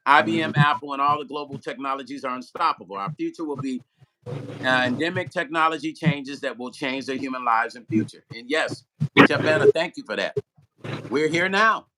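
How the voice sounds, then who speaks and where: A man hosting a casual livestream, chatting with a guest and reading viewer comments aloud in an animated way. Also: mid-range voice, fairly quick, noisy background. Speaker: livestream host.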